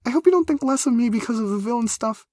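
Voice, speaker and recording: A woman's voice: on the high side, expressive, quick pace, no echo, studio quality, pitched above the performer's own voice. A man reading a story, voicing a bubbly, nervous young woman who gushes and trips over her words. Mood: nervous, gushing. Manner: gushing, flustered, loud.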